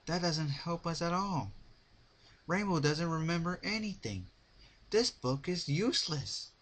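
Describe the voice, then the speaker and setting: A man's voice: fairly high pitch, animated, a little slow, a bit bright, pitched above the performer's own voice. A man voicing an exhausted, bossy female magic pony in a dramatic story reading. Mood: frustrated, urgent. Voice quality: tired, slurred.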